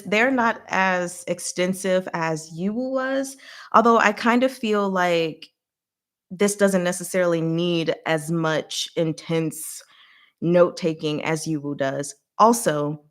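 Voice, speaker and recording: A woman hosting a livestream, rambling casually in a chatty, giggly way with animated reactions. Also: lowish voice. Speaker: livestream host.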